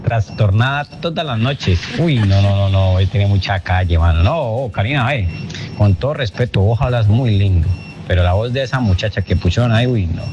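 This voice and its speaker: A man's voice: lowish voice, expressive, normal speed. A man from Cali, Colombia, with a Cali accent, calling in to a radio show, chatty and full of local slang. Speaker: caller. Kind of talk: phoned-in voice note. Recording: dull lo-fi phone audio with noise.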